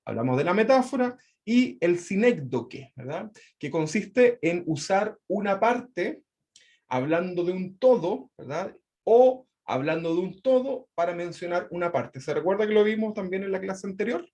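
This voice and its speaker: A man's voice: high voice, animated, normal speed, a little mellow. A man with a Chilean accent teaching an online Bible class. He repeats his points and keeps checking in with "¿verdad?". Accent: Chilean Spanish. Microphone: video call.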